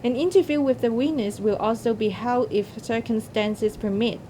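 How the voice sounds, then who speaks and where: A woman's voice: normal speed, mellow. A woman reading a scripted, formal announcement as presenter of competition results. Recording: noisy recording with low rumble.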